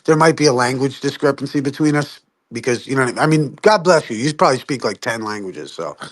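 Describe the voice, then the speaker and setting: A man's voice: medium pitch, lively intonation, fast talker. A man debating casually on an online call, rambling, swearing and poking fun at himself. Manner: rambling, profane, self-deprecating, laughs often. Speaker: flat-earth debater. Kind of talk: heated online debate chat.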